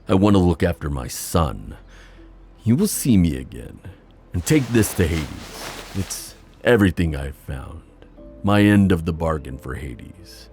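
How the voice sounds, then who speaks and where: Guy playing a proud fatherly sea god, really low voice, slow n musing, fantasy audio roleplay. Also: creaky, darkish tone, full character voice. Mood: sober, reflective. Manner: halting, musing, drawn-out delivery with sighs. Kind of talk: fantasy ASMR roleplay acting.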